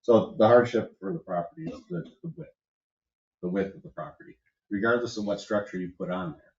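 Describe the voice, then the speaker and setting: A man's voice: on the low side, big pitch swings, brisk, vocal fry, a little mellow. A man making his case to a zoning board as the applicant, earnest and insistent. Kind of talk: pleading a case at a public meeting. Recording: echoey room, distant muffled mic.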